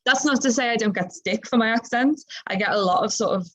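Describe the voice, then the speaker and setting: A young woman with a softened Liverpool accent, talking informally on a student panel in a chatty, halting, self-correcting way. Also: a little high. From Liverpool, England. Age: young adult.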